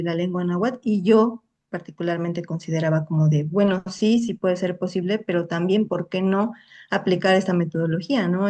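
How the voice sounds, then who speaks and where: Young woman, low-pitched, careful academic speaker on a video call. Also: a little mellow. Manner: careful, restarts often, tags statements with a questioning "no". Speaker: invited lecturer. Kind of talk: academic talk and Q&A answer. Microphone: online video call.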